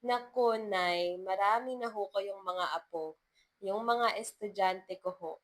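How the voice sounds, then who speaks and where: A woman's voice: mid-range voice, a little slow, close to an everyday voice. Woman playing an earnest, polite young schoolteacher woman. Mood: flustered.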